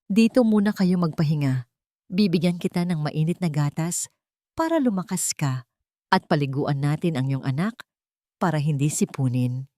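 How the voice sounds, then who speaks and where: A woman's voice: sits low, lively intonation, moderate pace, pauses often, mellow, dry recording, clean studio sound. A woman voicing a kindly, caring elderly grandmother in a storybook tale, speaking tenderly and reassuringly. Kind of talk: storybook dialogue.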